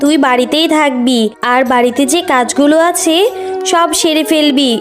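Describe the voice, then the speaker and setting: A woman's voice: high voice, normal speed, natural voice. A woman voicing a cruel, bossy aunt in a children's cartoon, nagging sharply with threats and scornful questions. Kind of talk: children's cartoon fairy-tale dubbing. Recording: music clearly behind voice.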